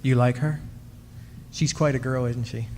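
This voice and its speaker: A man's voice: mid-range voice, fairly even intonation, a little slow, a little mellow, natural voice. A man playing a henpecked, weary history professor in an old-time radio drama, confiding hesitantly and stammering when flustered. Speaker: actor in a live radio play. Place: club hall stage. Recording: reverberant hall, music behind.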